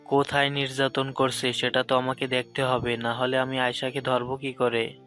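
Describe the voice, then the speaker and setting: A man acts out a police sub-inspector in a romantic audio drama. His mid-pitched voice is flat and even, admonishing in a measured way, with faint music behind. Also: lightly acted. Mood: questioning. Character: reproachful but lenient police sub-inspector. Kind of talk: Bengali audio love-story drama.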